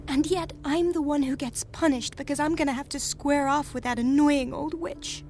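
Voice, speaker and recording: A put-upon, exasperated young woman hospital worker from a video game. Her voice is high, and music plays clearly behind it. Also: steady tone, moderate pace, a little mellow. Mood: fed up, anxious. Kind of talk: scripted game dialogue. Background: background music.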